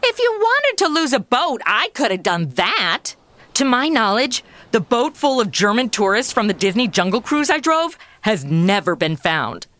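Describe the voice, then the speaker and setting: A sassy young American woman, played by an actress in a comedy radio play. Her voice sits fairly high and swoops around, with a flippant, slangy, sarcastic edge. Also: sing-song, normal speed, fairly bright tone, dry recording. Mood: exasperated, flippant. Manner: sarcastic, modern slangy delivery. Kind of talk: comedy audio drama acting.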